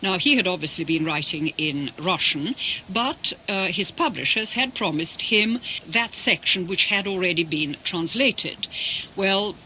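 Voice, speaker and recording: A woman's voice: fairly low pitch, animated, pushed and bright, poor audio. Articulate older woman, faint hiss. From Britain. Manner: measured, articulate, with careful restarts. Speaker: interviewee, interpreter. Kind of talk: reflective radio interview storytelling.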